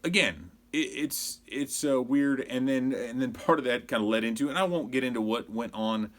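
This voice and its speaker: A man's voice: mid-range voice, normal speed. An American man around forty giving a casual, rambling opinion monologue as a sports show host, conversational and exasperated. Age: 40s.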